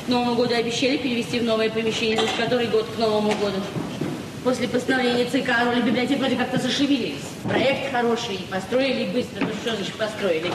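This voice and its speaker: An actress playing a modest, middle-aged provincial librarian, plain-spoken and frank, in a 1970s Soviet radio drama. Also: female voice, fairly high pitch, steady tone, quick pace, lightly acted. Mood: weary, chatty. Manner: plain-spoken, apologetic, talkative. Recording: noisy old radio recording, echoey, distant.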